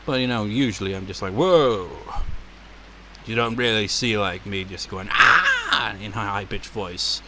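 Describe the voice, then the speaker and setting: A man with a deep voice giving casual, rambling commentary as a let's play host, laughing and swearing as he goes. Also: fairly even intonation, brisk, few pauses, very noisy. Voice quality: naturally deep voice.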